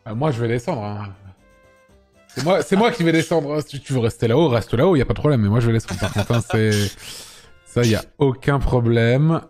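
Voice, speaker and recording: A man hosting a casual chat, a little hoarse, teasing and joking with his guests and correcting himself as he goes. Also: mid-range voice, lively intonation, brisk, darkish tone, soft background music. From France. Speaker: host.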